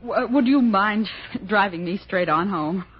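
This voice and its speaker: An actress playing an anxious, worldly film star in 1960s radio drama style, earnest and worried. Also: female voice, medium pitch, measured pace, husky. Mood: uneasy. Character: anxious, worldly silent-film leading lady. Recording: muffled, hissy old radio broadcast.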